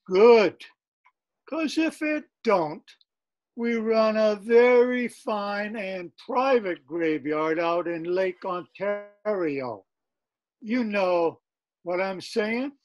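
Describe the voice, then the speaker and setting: An older man playing a menacing, wisecracking old-time gangster in a radio mystery, talking in deliberate tough-guy slang. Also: sits high, lively intonation, exaggerated voice. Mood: smugly menacing. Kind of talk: radio mystery play acting. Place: room at home, video call. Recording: dull video call audio.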